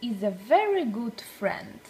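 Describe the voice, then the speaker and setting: A woman reading a storybook aloud for young children, with deliberate, expressive phrasing. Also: fairly high pitch, faint music behind, low-quality recording.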